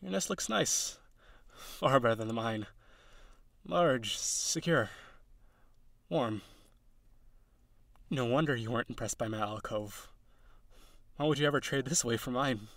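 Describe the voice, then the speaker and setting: A man playing a tender, insecure young male harpy in an intimate audio roleplay, halting and pleading as he speaks. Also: medium pitch, vocal fry, a bit bright, dry recording. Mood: wistful, calm.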